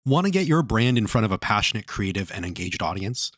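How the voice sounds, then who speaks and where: A man's voice: on the low side, very animated, brisk, a bit bright, dry recording, studio quality. A man reading a scripted promotional spot as an announcer.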